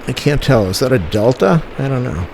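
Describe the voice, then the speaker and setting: A man's voice: mid-range voice, lively intonation, fairly quick, creaky, a little mellow, a little hiss or hum, rough recording. A man giving casual, rambling, explanatory off-the-cuff narration as a plane-spotting vlogger outdoors.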